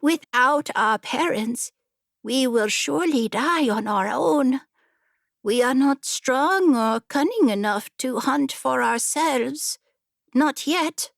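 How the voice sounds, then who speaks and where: Woman voicing an earnest, high-pitched young animal cub. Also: lively intonation, slow pace, vocal fry, no room sound, studio quality, exaggerated voice. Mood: anxious pleading. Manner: earnest, pleading, formal. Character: grateful, anxious young wolf cub in a fable.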